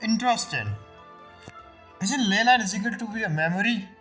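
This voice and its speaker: Woman game streamer: casual, musing, bright voice, non-native phrasing, faint game music. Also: fairly high pitch, animated, normal speed. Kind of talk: casual gameplay commentary.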